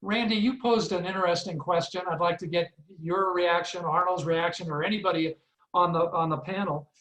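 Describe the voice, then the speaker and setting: High-voiced male moderator in echoey room. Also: fairly even intonation, a little fast, a bit bright. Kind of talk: conversational panel moderating. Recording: roomy, echoing sound.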